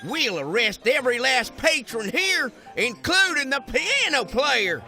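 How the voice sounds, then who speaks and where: A man's voice: very high voice, very animated, measured pace, bright, forceful tone, faint music behind, full character voice. A man voicing a jumpy, overeager cartoon cowboy deputy with folksy, dramatic Western talk. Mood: panicked, pleading.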